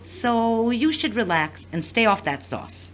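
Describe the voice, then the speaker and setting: Animated, pious advising friend played by a woman. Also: a little high, measured pace, poor audio. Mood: reassuring, firm. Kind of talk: audio drama dialogue.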